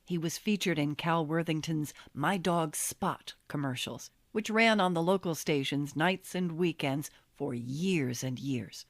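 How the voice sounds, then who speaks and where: An American woman reading her memoir as an audiobook, telling it in a wry, expressive storytelling manner. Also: sits low, unhurried, few pauses, no room sound, studio quality. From Los Angeles, USA. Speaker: author reading own memoir.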